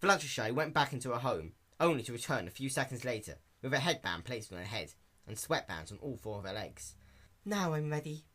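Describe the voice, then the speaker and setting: A man's voice: mid-range voice, expressive, brisk, sharp tone. A man reading a fan fiction story aloud as an amateur narrator.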